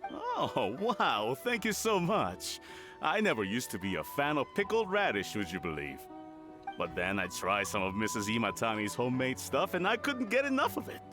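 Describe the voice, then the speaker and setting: A man's voice: mid-range voice, huge pitch swings, normal speed, over music. An actor playing a friendly, helpful young male villager who talks expressively, in a video game dub.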